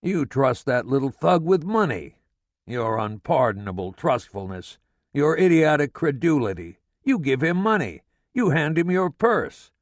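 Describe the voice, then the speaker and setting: A man voicing a furious, foul-mouthed middle-aged father who rants and shouts curses at his family. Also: fairly high pitch, big pitch swings, a little slow, no echo, voice pushed up. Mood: furious tirade.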